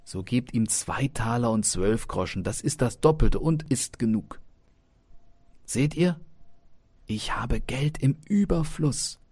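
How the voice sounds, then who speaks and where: A man's voice: mid-range voice, slow pace, a little mellow, husky, no room sound, pitched above the performer's own voice. A man voicing a carefree, confident young student in a storybook tale, breezy and placating toward his elders. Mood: confident, generous.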